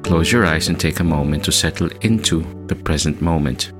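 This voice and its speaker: A man hosting a guided meditation, speaking in a measured, soothing way with long pauses between phrases. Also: rumbling low. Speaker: meditation podcast host. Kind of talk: slow guided meditation narration. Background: music bed.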